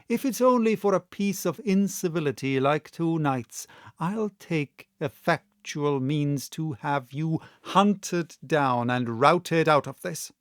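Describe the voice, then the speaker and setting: A man voicing a calm, stern gentleman doctor and magistrate in an audiobook, speaking clearly and with composed authority. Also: fairly high pitch, dry recording, clean studio sound. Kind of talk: audiobook character voice.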